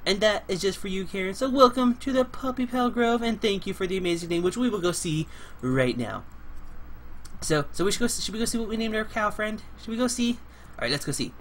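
Chatty young man with a high voice. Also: lively intonation, a little fast, a bit bright, a little hiss or hum. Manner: playful, chatty, talks to animals and co-player in a cutesy way. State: getting over a cold, clearing throat. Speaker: let's play host. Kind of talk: casual gameplay commentary.

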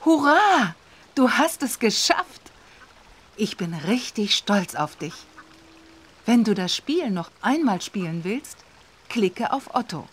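A woman's voice: fairly high pitch, sing-song, slow, slightly rough, full character voice. A woman voicing an encouraging, watchful guide in a children's game, emphatic and instructive. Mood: delighted. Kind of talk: children's educational game voice-over.

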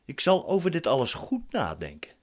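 An actor playing a wary, businesslike accused bank employee in a radio play, formal, curt and questioning. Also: male voice, fairly high pitch, huge pitch swings, moderate pace, a little mellow. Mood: wary, reserved.

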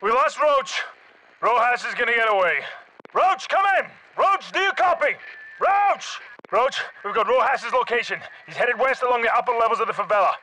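An actor playing a tough, urgent special forces squad leader in a video game, giving clipped, commanding military orders. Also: male voice, extremely high pitch, lively intonation, moderate pace, bright, forceful tone, exaggerated voice, low-quality recording. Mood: focused. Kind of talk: video game battle dialogue.

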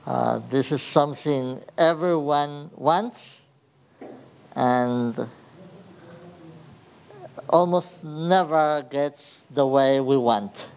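A man's voice: on the high side, drawn-out words, vocal fry, long pauses, a little mellow, slight background noise. A man from Ecuador with an Ecuadorian Spanish accent giving a technical conference talk in a large hall, hesitant with many fillers and restarts. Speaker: conference speaker.

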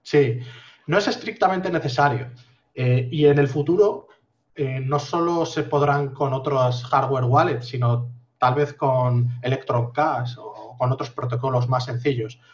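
A man in his thirties explaining his project on a video call from a home office, measured and full of fillers. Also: mid-range voice, dull audio. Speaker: guest project founder. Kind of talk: casual video call interview.

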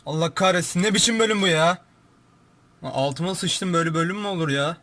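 High-pitched young man, casual reaction commentary. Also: a little fast, background noise. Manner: informal, exclamatory, narrates what appears on screen. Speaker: YouTube host.

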